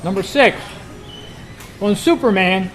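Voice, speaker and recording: A man hosting a quiz, reading trivia questions aloud to a group in a deliberate, phrase-by-phrase way at a club meeting. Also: high voice, fairly bright tone. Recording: noisy live meeting room recording.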